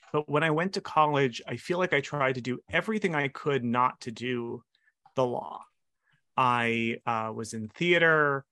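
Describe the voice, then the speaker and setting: Relaxed man with a dry close sound. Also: medium pitch, dry recording. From Hawaii. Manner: relaxed, many fillers and restarts. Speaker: lawyer, guest. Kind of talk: casual interview answers.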